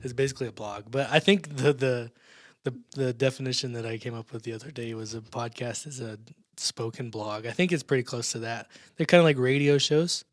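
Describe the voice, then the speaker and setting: A man's voice: medium pitch, brisk, no echo, clean studio sound. A man hosting a small church podcast in casual chat, laughing often and restarting his sentences. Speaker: podcast host.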